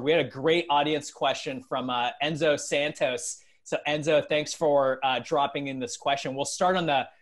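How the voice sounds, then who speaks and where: A man in his thirties hosting a conversational business interview over a video call on earbuds. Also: on the high side. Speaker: interviewer and host. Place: small bright room at home, on a video call. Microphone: wired earbuds on a video call.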